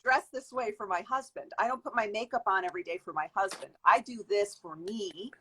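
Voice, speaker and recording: A woman in her fifties answers as an interview guest, thinking aloud in long, flowing sentences. She circles back and restarts often. Her voice sits in an ordinary middle pitch. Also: fairly quick, fairly bright tone, a little hiss or hum. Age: 50s. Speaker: guest, beauty industry worker.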